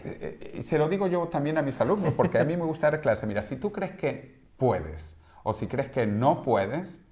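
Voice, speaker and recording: A man's voice: fairly high pitch, lively intonation, moderate pace, darkish tone. A man in his fifties from Venezuela, a guest in an interview, explaining in an emphatic, example-packed way. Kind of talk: conversational interview.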